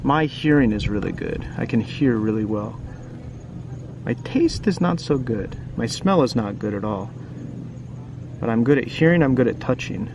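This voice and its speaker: A man with a low-key crackle in his voice reads a picture book to young viewers in a casual, chatty way. He keeps turning to the listener with questions. Outdoor noise and passing traffic sit clearly behind him. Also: expressive, moderate pace, muffled recording. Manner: informal, asks the listener frequent questions and tag questions. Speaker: children's book reader and teacher.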